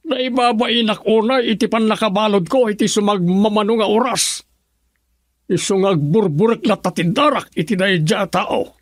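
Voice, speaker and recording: A man's voice: sits high, moderate pace, dry recording, studio quality. A man with an Ilocano accent playing a stern, wrathful older father in a radio drama. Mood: angry, stern. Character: stern, wrathful father resentful of his son-in-law.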